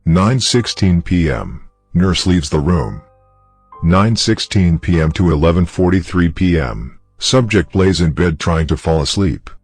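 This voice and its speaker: A man's voice: deep voice, animated, unhurried, darkish tone, no room sound, faint music behind. A man playing a formal, detached researcher reading out a clinical case log in a scripted horror story.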